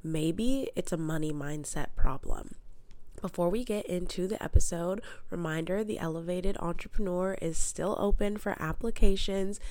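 A woman's voice: on the low side, dark tone, no room sound. A young adult woman hosting a solo podcast as a mindset coach. She has a cold and sounds stuffed up, and her delivery is upbeat and motivational. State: congested with a head cold.

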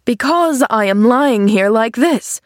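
A woman voicing a grumpy, bitter man in an audiobook, speaking in a gruff, testy and sarcastic way. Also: mid-range voice, animated, a little slow, dry recording, studio quality. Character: grumpy, sardonic, bedridden man with a bitter temper. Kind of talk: audiobook character dialogue.

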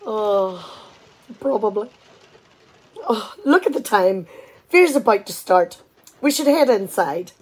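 A woman's voice: mid-range voice, lively intonation, takes it slowly, pauses often, a little mellow, slight background noise, low-quality recording. An amateur actress, a woman, playing a practical, firm wife in a conversational domestic radio play. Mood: amused, casual.

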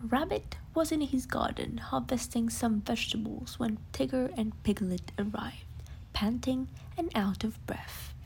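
A woman telling a bedtime story in a hushed, soothing whisper. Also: fairly high pitch, measured pace, creaky, a little hiss or hum, poor audio.

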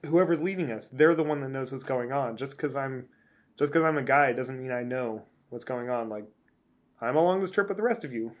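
A man's voice: medium pitch, a little fast. Rambling, self-mocking man podcast host. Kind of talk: casual chat.

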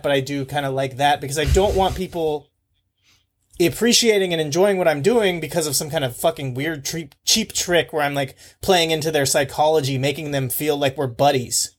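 A man co-hosts a podcast with a somewhat high, squeaky voice that has an abrasive edge. He talks bluntly and casually, keeping the microphone away from his mouth. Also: brisk. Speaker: podcast co-host. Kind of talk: casual chat. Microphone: microphone placed well away from him.

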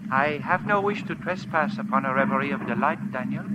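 An actor playing a courtly, learned Chinese professor in 1940s radio drama, speaking in formal, ornate, deliberate phrases. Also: male voice, medium pitch, natural voice. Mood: courteous, grave. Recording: dull, noisy old radio recording.

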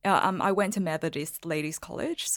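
Podcast guest, female, from Singapore, low register, thoughtful conversational explainer with loose, informal phrasing. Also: brisk, a bit bright. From Singapore, moved to Melbourne at thirteen. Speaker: podcast guest, lawyer and blogger. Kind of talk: casual interview chat.

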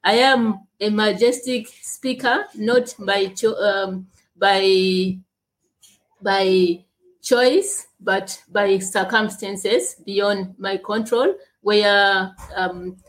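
A woman from Uganda, answering interview questions in slow, halting speech with long pauses. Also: mid-range voice, steady tone. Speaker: guest, leadership coach and speaker.

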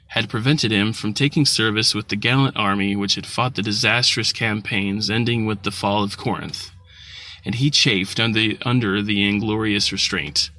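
A man narrating a literary short story from a script, in a measured, formal manner with an occasional stumble. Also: fairly low pitch, pushed and bright. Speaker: audiobook narrator.

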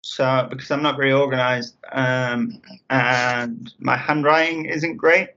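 Halting English man on a dull line. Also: medium pitch, fairly even intonation, bright, forceful tone. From England. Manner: halting, with frequent ums and restarts. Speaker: interview guest. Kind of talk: casual interview answers. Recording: dull lo-fi sound.

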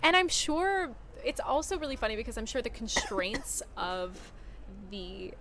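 A woman's voice: on the high side, sing-song, a little slow, fairly bright tone, slight background noise. A woman hosting a podcast, chatty and effusive, asking long winding questions at a live festival recording. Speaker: podcast host interviewing filmmakers. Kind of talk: casual interview chat. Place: live at a film festival venue.

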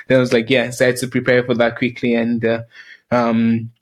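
Man, Southern African accent, podcast host, flat intonation, halting casual chat. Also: mid-range voice. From Zimbabwe, grew up in South Africa.

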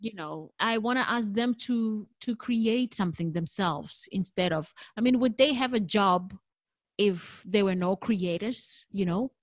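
A woman's voice: medium pitch, unhurried, dry recording. A middle-aged woman from South Africa whose first language is not English, speaking candidly and thoughtfully in an interview as a jazz singer. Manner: thoughtful, candid, laughs easily. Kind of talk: conversational interview.

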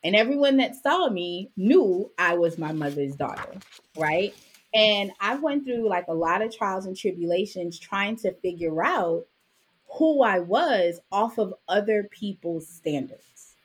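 Jamaican-born lady from brooklyn, husky voice, chatty, keeps tossing in "right?". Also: medium pitch, unhurried. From Jamaica, raised in Brooklyn. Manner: animated storytelling with frequent "right?" asides. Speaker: podcast guest, author and business owner. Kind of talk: casual interview conversation.

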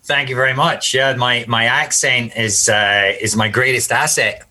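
Scottish-american guy, bright punchy voice, thinks out loud with lots of uhs. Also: medium pitch, steady tone, normal speed. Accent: mixed Scottish and American. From Scotland. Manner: reflective, hesitant, frequent uh and restarts. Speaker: golf course architect guest. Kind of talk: conversational interview answers.

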